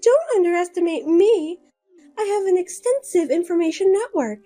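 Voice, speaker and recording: A woman's voice: very high voice, expressive, normal speed, warm tone, soft background music, voice pushed up. A woman voicing a scheming younger sister who taunts and boasts in a sing-song way. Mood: taunting.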